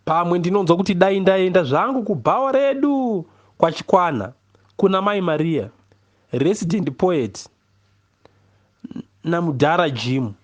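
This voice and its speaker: A man with a Zimbabwean accent reads a first-person literary story expressively, in a measured way with deliberate pauses. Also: sits high, animated, dull audio. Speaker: reader of a novel, poet.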